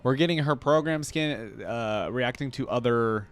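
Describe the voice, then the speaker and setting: A man's voice: medium pitch, unhurried. A man hosting a reaction video, commenting casually and with easy, amused enthusiasm.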